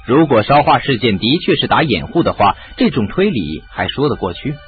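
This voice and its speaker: A man's voice: medium pitch, very animated, a little fast, narrow, tinny audio, lightly acted. A man acting in a radio drama as a shrewd, confident sleuth, explaining things in an assured way. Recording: band-limited audio with music.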